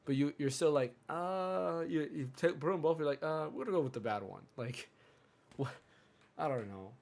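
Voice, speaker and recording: A man hosting a casual gaming podcast, chatty and rambling, a bit hoarse from a cold. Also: fairly high pitch, moderate pace, a little mellow. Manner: chatty, rambling, self-interrupting. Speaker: podcast host.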